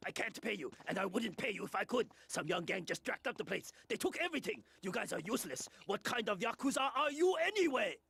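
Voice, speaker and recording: An actor playing a defiant, indignant shopkeeper in a video game, with a raspy, strained voice. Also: male voice, high-pitched, animated, fast, few pauses, bright, forceful tone, full character voice. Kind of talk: video game cutscene acting.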